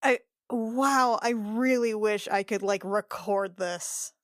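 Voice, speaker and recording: A woman's voice: mid-range voice, takes it slowly, no room sound, clean studio sound. A woman playing a tabletop roleplaying game, chatting and bantering casually.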